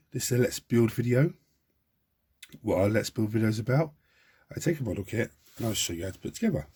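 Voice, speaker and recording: This is a man talking through a hobby build at his desk. His voice is low and badly hoarse, rough and croaky from a lingering cold. He chats loosely, restarting and repeating words as he goes. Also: mellow. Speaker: hobby channel host. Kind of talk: model-building tutorial talk.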